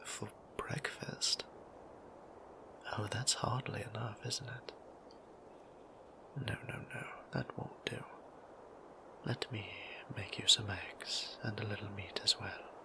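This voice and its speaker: A man playing a gentle, lonely and easily flustered vampire priest in an intimate roleplay audio, speaking softly and breathily with hesitant, politely old-fashioned phrasing. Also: on the low side, fairly even intonation, takes it slowly, pauses often. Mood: curious, gently doting. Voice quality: soft, breathy near-whisper.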